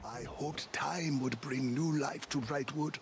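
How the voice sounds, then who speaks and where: An actor playing a somber, long-lived lore-keeper in a video game, speaking in grave, formal, portentous phrases. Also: male voice, on the high side, steady tone, slow pace, creaky, a little hiss or hum.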